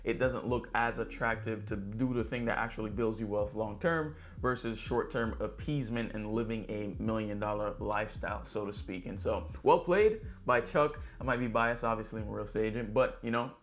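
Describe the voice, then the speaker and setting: A man's voice: on the low side, a little fast, hardly any pauses, fairly bright tone. A man who works as a real estate agent hosts a news recap in a chatty, upbeat way, speaking directly to viewers. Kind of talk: vlog news recap to camera, conversational.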